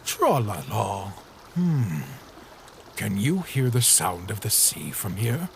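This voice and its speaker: A serene, riddling ferryman who drifts through his words at an unhurried pace, as a man puts him on. His sing-song lines rise and fall with a lot of movement. The recording has faint background noise. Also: on the low side, very animated, gravelly, full character voice. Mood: mysterious. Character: cryptic hooded boat ferryman, serene and riddling. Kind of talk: fan comic dub.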